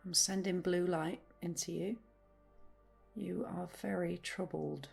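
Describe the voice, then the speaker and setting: A woman playing a blunt, literal, restless adult woman in a naturalistic audio drama, with a husky voice and an insistent manner. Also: fairly low pitch, steady tone, takes it slowly, soft background music, full character voice.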